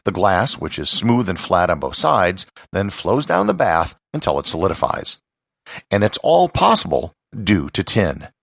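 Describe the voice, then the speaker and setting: An American man from Wisconsin hosting a podcast, delivering scripted educational narration in a husky, gravelly voice with measured, emphatic phrasing. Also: sits low, sing-song, no echo, studio quality. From Wisconsin, United States.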